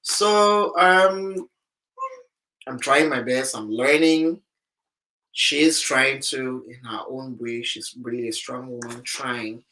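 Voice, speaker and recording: A man chatting casually about being a new father, hesitant and halting, often repeating words. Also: medium pitch, bright, forceful tone, poor audio. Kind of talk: casual chat. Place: home in Korea.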